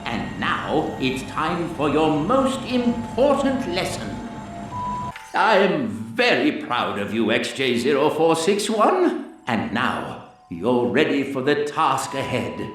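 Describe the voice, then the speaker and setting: A man playing a kindly, absent-minded older scientist in a video game, speaking in a halting, courtly, fussy way. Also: medium pitch, huge pitch swings, a bit bright, heavily acted. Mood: proud, solemn. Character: kindly, absent-minded old scientist mentor, bumbling and formal. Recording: echoey, distant playback over music.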